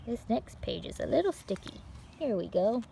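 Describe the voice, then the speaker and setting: A woman hosting story time for young children, reading aloud in an animated, encouraging way with simple phrasing. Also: fairly high pitch, moderate pace, gravelly, slight background noise.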